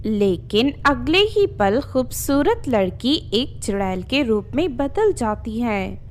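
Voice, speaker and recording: A woman narrates a children's tale in a high, very animated voice. Her pace is slow and deliberate, as a scripted storyteller. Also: soft background music.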